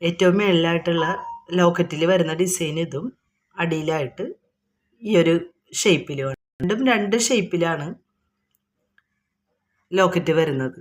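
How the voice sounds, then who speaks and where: A woman's voice: lowish voice, measured pace, pauses often, slight background noise. A woman with a Kerala accent informally showing products item by item, explaining each and repeating prices in an unscripted, repetitive way.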